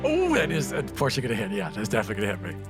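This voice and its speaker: Guy at a game night, really animated storyteller, mid voice, clear music behind. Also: fairly low pitch, racing. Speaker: tabletop game player. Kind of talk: casual game talk and improvised storytelling.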